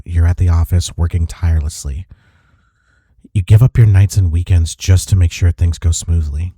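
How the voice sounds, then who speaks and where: A man playing a smitten office rival in an intimate romantic audio roleplay, with a husky, mellow voice and a halting, teasing manner. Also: low voice, dry recording, clean studio sound. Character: flustered, smitten male office rival confessing attraction.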